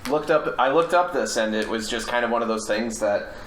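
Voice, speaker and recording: A man's voice: mid-range voice, a little fast, fairly bright tone. A man in a casual film discussion, explaining details in a matter-of-fact way. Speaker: podcast panelist. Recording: echoey room with background noise.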